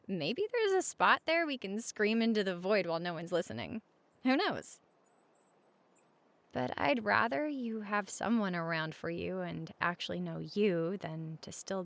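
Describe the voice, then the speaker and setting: Friendly, reassuring female roommate, played by a woman for comfort audio: casual, gentle, frequent vocal fry, very dry close sound. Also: medium pitch, no room sound. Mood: reassuring, caring. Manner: casual, gentle, reassuring, speaking at regular volume. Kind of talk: conversational comfort audio roleplay.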